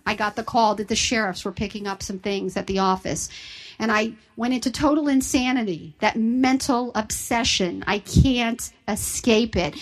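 A woman's voice: mid-range voice, poor audio. A woman, sharing her personal recovery story with an audience in a candid, self-deprecating way. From Southern United States. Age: 50s.

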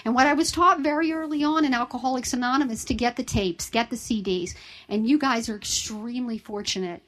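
A woman's voice: on the high side, brisk, fairly bright tone. A woman, sharing her personal recovery story with an audience in a candid, self-deprecating way. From Southern United States. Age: 50s.

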